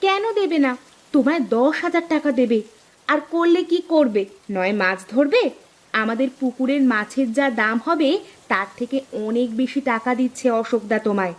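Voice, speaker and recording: Village wife for a cartoon played by a woman: high-pitched, persuasive, insistent, hopeful. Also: normal speed. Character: practical, optimistic village housewife urging her husband. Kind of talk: dramatised cartoon dialogue.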